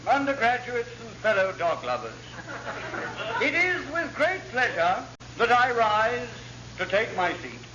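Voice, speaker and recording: High-pitched, muddled pompous dignitary. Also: male voice, heavily acted. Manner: bumbling, oratorical, rambling. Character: muddled, pompous elderly dignitary giving rambling speeches. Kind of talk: classic radio sitcom acting. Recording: muffled, very noisy old radio recording.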